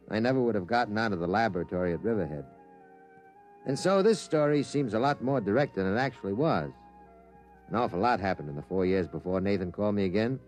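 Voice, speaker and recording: A man's voice: fairly low pitch, darkish tone, close to an everyday voice. An actor in a 1950s radio drama playing and narrating as a weary male newspaper reporter with a dry, matter-of-fact delivery. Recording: old radio drama with faint music.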